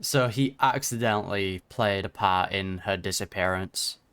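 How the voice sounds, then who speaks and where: A woman's voice: very deep voice, measured pace, a bit bright, clear, resonant tone, no echo. A woman giving casual, slangy reaction commentary on a video game as it plays. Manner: informal slangy asides.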